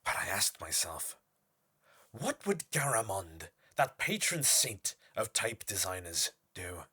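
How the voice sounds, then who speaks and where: An actor playing a pompous, obsessive typeface designer, delivering a formal, theatrical monologue for an audio horror drama. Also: male voice, deep voice, big pitch swings, takes it slowly, sharp tone, breathy edge, heavily acted. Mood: confiding, intense.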